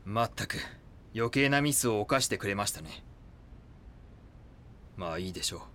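An actor playing a cult leader's coldly polite, fanatical right-hand man in an audio drama, measured and formal. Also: lowish voice, expressive, pushed and bright, slight background noise.

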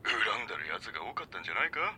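An actor playing a demanding magazine editor in an audio drama, brusque and commanding, with a breathy voice. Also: male voice, on the low side, very animated, racing, pushed and bright, a little hiss or hum, full character voice. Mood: commanding, secretive. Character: gruff, demanding male magazine editor boss. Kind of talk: Japanese audio drama dialogue.

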